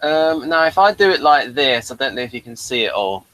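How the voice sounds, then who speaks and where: A man's voice: medium pitch, sing-song, fairly quick, fairly bright tone, no echo, poor audio. A man hosting a review show in a casual, conversational style. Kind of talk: casual chat.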